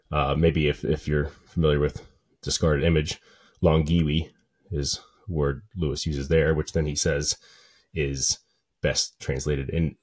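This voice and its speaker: A man's voice: very deep voice, darkish tone, breathy edge. A man giving an unscripted explanatory talk, thinking aloud as he works through ideas step by step. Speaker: lecturer on literature and scripture.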